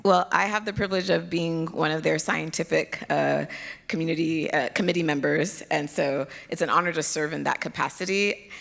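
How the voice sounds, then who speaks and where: Nigerian american lady speaking at a conference, low voice with some gravel, laughs a lot and chats with the crowd. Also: moderate pace, a bit bright. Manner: chatty, self-deprecating, laughs often. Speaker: pediatric hematologist, keynote speaker. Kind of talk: conference talk. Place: conference hall stage.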